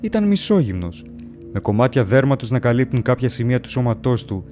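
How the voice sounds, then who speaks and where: A man's voice: medium pitch, sing-song, close to an everyday voice. A man acting a haunted, feverish wanderer who tells his own horror story in a solemn, brooding, literary way. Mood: anxious, tense. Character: haunted, feverish male wanderer lost among ancient graves. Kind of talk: dramatic first-person horror story narration. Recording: loud music under the voice. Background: music.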